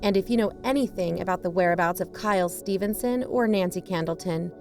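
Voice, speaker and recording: A woman's voice: lowish voice, brisk, a little mellow, close to an everyday voice. Woman podcast co-host over faint music, acted. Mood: matter-of-fact. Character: wry female investigative podcast co-host. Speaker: podcast co-host reading credits. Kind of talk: scripted podcast announcing.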